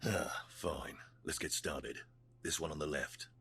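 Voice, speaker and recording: An actor playing a gruff, blunt, menacing Sith jailer in a video game. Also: male voice, a bass voice, measured pace, a bit bright. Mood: businesslike, blunt. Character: gruff, menacing male Sith jailer running slave pits. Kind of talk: scripted video game dialogue. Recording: music behind the voice.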